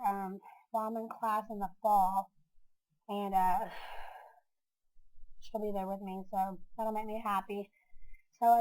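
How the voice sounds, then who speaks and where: A woman's voice: medium pitch, a little mellow, poor audio. A young woman chatting casually in a vlog. Her voice is nasal and congested from a cold, and she rambles with many filler words. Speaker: vlogger. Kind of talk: casual chat.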